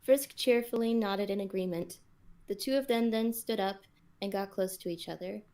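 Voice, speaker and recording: A woman's voice: mid-range voice, normal speed, warm tone. A woman on a podcast, reading scripted story narration and chatting casually with the group.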